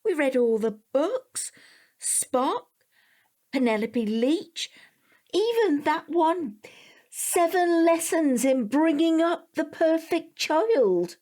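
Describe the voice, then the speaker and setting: A woman's voice: high-pitched, sing-song, slow pace, dark tone, full character voice. A woman playing an anxious, worn-down mother in an audio drama, fretful and hesitant. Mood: despairing. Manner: fretful, hesitant, breaking into whispers. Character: anxious, worn-down mother frightened of her son.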